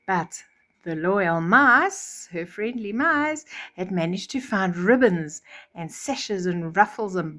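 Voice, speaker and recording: An older woman reading a children's storybook, pausing to explain things simply as a bedtime storyteller. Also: medium pitch, fairly bright tone.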